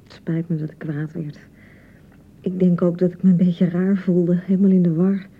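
Touchy newlywed wife, husky, phone-like sound. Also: female voice, fairly low pitch, flat delivery, moderate pace. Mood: conciliatory. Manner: nagging, sarcastic, repeating herself reproachfully. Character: touchy, insecure newlywed young wife on honeymoon. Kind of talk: naturalistic radio drama acting.

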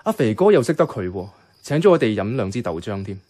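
An actor playing a mild, wry young man narrating his life as a reluctant flatmate in a Cantonese radio drama, with a Hong Kong accent and a casual, understated delivery. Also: lowish voice, quick pace. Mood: chatty, relaxed. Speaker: narrator and lead character. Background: background music.

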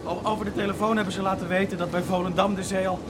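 A resigned, worried village man in a radio play, acted by a man. His high voice is grave, set against noisy weather. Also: flat delivery, very fast, lightly acted. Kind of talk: radio drama acting. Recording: noisy storm background.

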